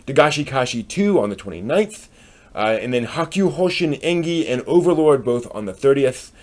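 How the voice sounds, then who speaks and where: A man with an American accent hosting a live stream, giving a casual news rundown in a hesitant, halting way as he thinks aloud. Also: mid-range voice, expressive. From United States.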